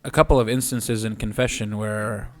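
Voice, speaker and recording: A man's voice: fairly low pitch, moderate pace, faint music behind. A man in his twenties, a podcast guest and physical therapist, speaking earnestly and steadily. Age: 20s. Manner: earnest and steady, with occasional restarts. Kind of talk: casual chat.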